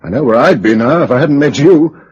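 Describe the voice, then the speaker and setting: An actor playing a wry, courteous British survivor in a radio drama, with a dry, urbane, teasing delivery. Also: male voice, mid-range voice, expressive, brisk, breathy edge. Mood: wistful, tender. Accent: British English. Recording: dull, noisy old radio recording.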